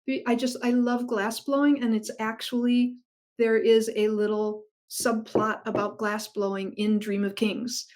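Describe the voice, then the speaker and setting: A woman's voice: fairly high pitch, unhurried. A middle-aged woman author chatting enthusiastically over a video call about her writing. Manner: enthusiastic, animated storyteller. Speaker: guest author. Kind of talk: casual interview chat.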